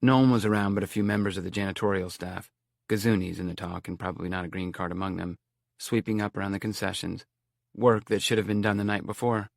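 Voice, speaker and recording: A man narrating a novel as an audiobook in a measured, wry storytelling manner. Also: low voice, fairly even intonation, gravelly, no echo, studio quality.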